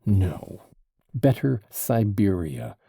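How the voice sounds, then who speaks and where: A man voicing a desperate, furious young man under arrest, in a gravelly, dark voice. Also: low voice, sing-song, drawn-out words, no echo, clean studio sound, exaggerated voice. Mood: defiant.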